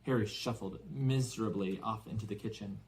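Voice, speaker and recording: A man reading a children's novel aloud as expressive scripted narration. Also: on the low side, a little fast, a little hiss or hum. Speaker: audiobook reader for English learners.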